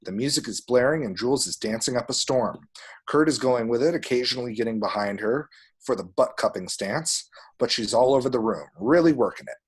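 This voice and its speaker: A man narrates screen action as scripted stage directions at a table read. His voice is a little husky, with a middle pitch. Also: lively intonation, a little fast. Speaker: reader of screenplay action descriptions.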